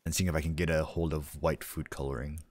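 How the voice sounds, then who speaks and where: A young man streaming a hunting game, chatting in a deadpan, rambling way from his home desk. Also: rumbling low, fast talker, no room sound. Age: young adult. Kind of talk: casual chat while gaming. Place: home room, seated.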